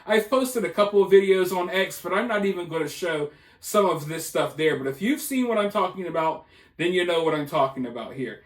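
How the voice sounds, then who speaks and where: High-pitched man commenting in an echoey room. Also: lively intonation, fairly quick. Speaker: video channel commentator. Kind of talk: casual news commentary. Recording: roomy, echoing voice.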